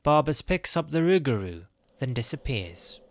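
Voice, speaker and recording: A man's voice: mid-range voice, very animated, normal speed, a little hiss or hum. A man narrating a fantasy audio drama from a script.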